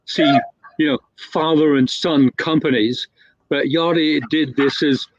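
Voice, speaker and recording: A man's voice: a little high, fairly even intonation, measured pace, no echo. A man telling a long anecdote in a casual, rambling way, full of fillers and restarts. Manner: rambling, with many fillers and self-corrections. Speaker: guest storyteller. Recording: dull, lo-fi, dry sound.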